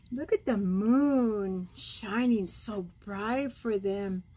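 A woman in her sixties reading a bedtime storybook aloud as a grandmother, gentle and expressive, cooing over the pictures. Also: on the high side, breathy edge. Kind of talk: read-aloud bedtime story with side comments. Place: small room at home, indoors.

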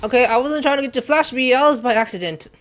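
A man's voice: very high voice, fast, noisy background. A gaming streamer giving crude, playful commentary while he plays at home, often jumping into shrieky joking voices. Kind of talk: casual gameplay commentary. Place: home room with webcam.

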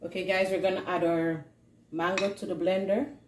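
A woman's voice: on the low side, little pitch movement, moderate pace. A woman hosting a cooking vlog, giving a casual recipe demonstration in a home kitchen. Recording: roomy kitchen echo with faint hiss.

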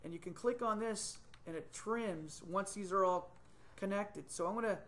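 A middle-aged man with a fairly high voice walks through a 3D modeling task on screen. He thinks aloud as he goes, filling pauses with ums and correcting himself on the fly. He is recorded on a desktop computer mic in a small room. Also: a bit bright. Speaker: software tutor. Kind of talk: screen-recorded software tutorial. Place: small indoor room, webcam in corner of screen.

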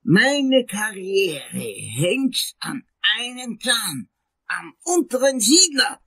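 A vengeful male prosecutor in a radio play, pompous and outraged. His voice is husky and gravelly, often hissing. He drags his words out slowly and theatrically. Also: very high-pitched, big pitch swings, bright, forceful tone, full character voice. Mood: haughty, indignant. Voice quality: raspy, creaky, often hissing. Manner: pompous, theatrical, swooping, drawn-out delivery. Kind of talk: radio drama acting.

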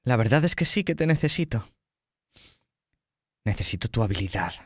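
A man playing a menacing, persuasive villain who bargains calmly in slow, measured phrases for a tabletop horror game. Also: mid-range voice, husky, no room sound, studio quality. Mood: coaxing menace.

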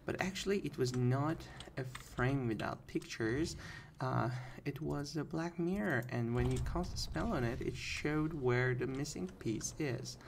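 Guy streaming a game, talks real slow n halting, gravelly fry, casual. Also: mid-range voice, slight background noise. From Turkey. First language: Turkish. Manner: halting, deliberate pronunciation. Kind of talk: casual live gameplay commentary. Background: game ambience.

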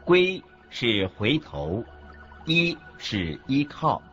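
A man's voice: medium pitch, very animated, extremely slow, fairly bright tone. A man reading a scripted religious teaching as the narrator of a radio lecture. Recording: dull lo-fi audio with background music.

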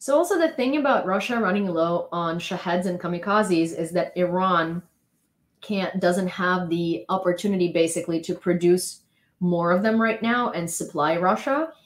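A young woman with a slightly low voice talks straight to her webcam from a small bedroom. Her first language is Ukrainian, and her blunt, opinionated commentary comes out casual and unscripted, full of ums and restarts. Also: moderate pace. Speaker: news vlogger.

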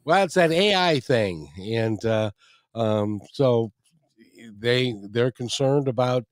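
A man's voice: mid-range voice, expressive, takes it slowly, no room sound. Chatty male radio host, dry close mic. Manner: chatty, jokey, repeats phrases. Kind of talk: interview and show outro. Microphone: studio microphone with headphones.

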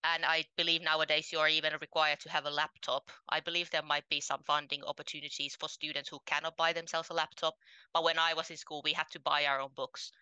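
A woman's voice: low-pitched, flat delivery, fast, sharp tone, clean tone, no room sound, highs cut off. A woman in her twenties from Finland, a podcast guest speaking candidly in a casual interview over a headset mic from home, with frequent ums. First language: Finnish. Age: 20s. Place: home room, indoors.

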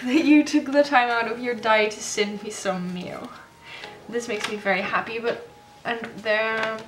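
A young woman whose first language is Dutch, chatting excitedly in English as she opens mail at her desk at home, often breaking off and restarting. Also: on the high side. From Netherlands. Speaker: stationery vlogger. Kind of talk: casual unboxing chat.

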